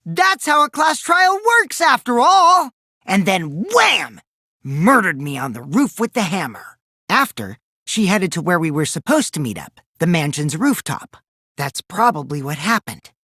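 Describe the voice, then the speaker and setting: A man's voice: high-pitched, very animated, unhurried, pushed and bright, no room sound, studio quality, full character voice. A man voicing a mischievous, taunting teenage boy in an English video game, with childish, singsong mockery and theatrical delivery. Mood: gleeful, then explaining. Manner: childish, singsong mockery, theatrical swings from gleeful to coolly sly.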